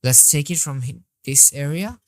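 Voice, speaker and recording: A woman narrating a software tutorial step by step, describing each click as she goes. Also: deep voice, moderate pace, dry recording. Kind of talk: screen-recorded tutorial walkthrough.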